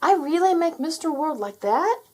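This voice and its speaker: A woman's voice: sits high, big pitch swings, moderate pace, voice pushed up. A woman voicing an earnest, unsure young boy in a children's storybook, plaintive and wide-eyed. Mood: puzzled wonder. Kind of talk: children's storybook character read aloud.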